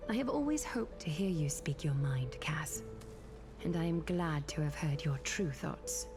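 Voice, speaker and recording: Poised scholarly game noblewoman: lowish voice, formal, measured, music clearly behind. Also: expressive. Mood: calm, solemn. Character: composed, learned noblewoman, formal and quietly authoritative. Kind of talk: video game voice acting.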